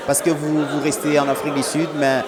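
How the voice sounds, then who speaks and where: A man's voice: on the high side, flat delivery, fairly quick. Guy interviewing people at a busy forum, lots of euh, crowd noise behind. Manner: hesitant, lots of euh, direct questions. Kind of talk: on-the-spot event interview.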